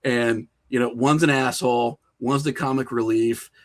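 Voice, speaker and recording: A man talking casually and conversationally as a podcast guest, telling things in an animated, storytelling way. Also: medium pitch, normal speed. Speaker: podcast guest, horror filmmaker and writer.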